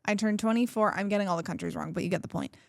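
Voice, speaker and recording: A woman's voice: medium pitch, racing, gravelly, no echo, studio quality. A woman in her twenties co-hosting a podcast, telling anecdotes with wry asides in casual chat. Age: 20s. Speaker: podcast co-host.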